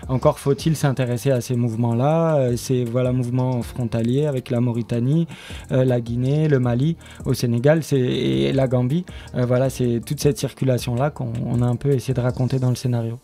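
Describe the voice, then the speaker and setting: A man being interviewed on radio as a drama writer, explaining his work in a halting, unscripted way. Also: mid-range voice, steady tone, warm tone, faint music behind. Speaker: guest, radio drama writer and studio member.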